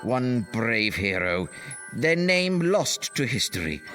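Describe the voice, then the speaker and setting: A man performs an old teller of tall tales for a comedy podcast. His delivery is hammy and mock-gothic, with doddery asides and extremely animated swings in pitch. Music plays clearly behind him. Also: slow pace. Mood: ominous, dramatic. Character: theatrical old male storyteller of tall tales.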